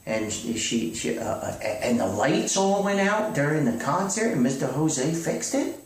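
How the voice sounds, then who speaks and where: A man puts on a self-important yet hapless author in a comedy phone skit, excitable and stubbornly positive. His lines wander and stammer, with lively swings in pitch. The recording is very roomy, far from the mic, with faint noise. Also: normal speed. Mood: puzzled, deflated. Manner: stammering, rambling, repeating himself. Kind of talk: one-sided comedy phone call skit. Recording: echoey distant old recording, faint noise.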